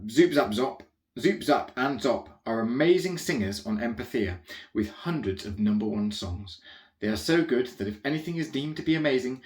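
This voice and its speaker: A man's voice: mid-range voice, echoey room, natural voice. A man reading a comic children's story as a rambling, easily distracted, naive young alien royal, chatty and full of self-correcting asides. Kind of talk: first-person comic children's audiobook narration.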